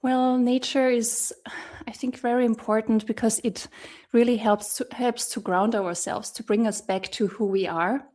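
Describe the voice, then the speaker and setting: A woman from Austria working as a therapeutic coach, speaking over a video call in a thoughtful, hesitant way with many pauses and fillers. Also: a little high, steady tone, a little mellow. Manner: thoughtful, hesitant, many ums, laughs often. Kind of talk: casual interview talk.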